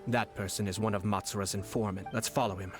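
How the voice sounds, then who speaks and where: Casting: a man as a composed young noble schemer, low-pitched and smooth. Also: fairly even intonation, fast, music in the background, lightly acted. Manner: smooth, composed, quietly confident. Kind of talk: game voice acting.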